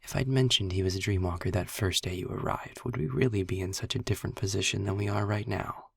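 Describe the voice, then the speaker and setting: A man playing a sarcastic, weary imprisoned demon in an intimate audio roleplay, with a husky, gravelly, breathy voice and a dry, articulate, deliberate delivery. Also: on the low side, fairly even intonation, fairly bright tone, dry recording, full character voice. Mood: sarcastic, needling. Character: sarcastic, weary male demon, long imprisoned, dryly eloquent. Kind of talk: audio roleplay, intimate slow monologue to the listener.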